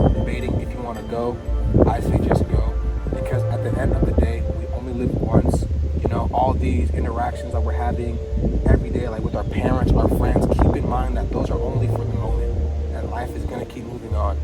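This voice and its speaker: A man's voice: mid-range voice, rough recording. A man in his early twenties talking casually and reflectively to viewers in a rambling, laid-back, slangy way while fishing outdoors. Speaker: fishing vlogger. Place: outdoors by a pond. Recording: music over the voice. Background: music.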